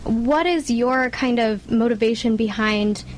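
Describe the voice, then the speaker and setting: A woman with a highish voice hosting a local community interview, a little noise around her. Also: measured pace. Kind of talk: conversational interview questions.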